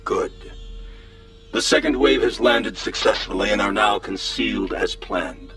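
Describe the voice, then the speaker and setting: An actor playing a cold, commanding alien leader in a radio drama, giving orders in a deliberate, authoritative manner. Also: male voice, on the low side, a bit bright. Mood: stern command. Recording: music behind the voice.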